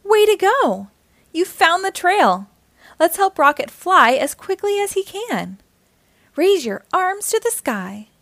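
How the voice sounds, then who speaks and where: High female voice, very lively intonation, children's read-aloud narration, encouraging storytime host. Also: slow pace, no room sound. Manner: animated, encouraging, addressing young listeners directly.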